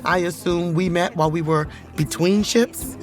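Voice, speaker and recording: A woman's voice: lowish voice, fairly even intonation, moderate pace, natural voice. A woman acting a candid ship's officer off duty in a science fiction audio drama. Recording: music under the voice.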